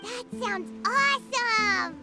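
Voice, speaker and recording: A woman's voice: extremely high pitch, takes it slowly, heavily acted. A woman voicing a tiny, eager, childlike fairy companion in a video game, chirpy and talkative. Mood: excited. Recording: loud game music behind the voice.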